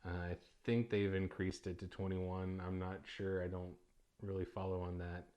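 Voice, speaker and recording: A young man with a low voice talks loosely on his own podcast. He rambles and restarts often, filling pauses with ums and uhs. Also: flat delivery, a little mellow. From United States. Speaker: podcast host. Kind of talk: casual solo podcast talk.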